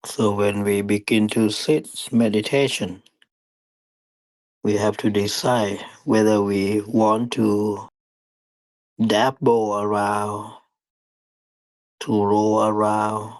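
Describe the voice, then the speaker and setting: Slow, low-voiced man guiding meditation. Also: level tone, a little mellow, dry recording, rough recording. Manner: deliberate, halting phrasing with long pauses. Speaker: meditation teacher. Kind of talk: guided meditation dharma talk.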